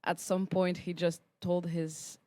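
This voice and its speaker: A young woman from Switzerland recounts her musical path in a podcast studio, her voice sitting slightly low. She breaks into small laughs often and fills gaps with ums. The sound is dry and close. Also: level tone, slow, a little mellow, dry recording. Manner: laughs often between phrases, with many ums and restarts. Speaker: guest musician. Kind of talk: casual interview storytelling.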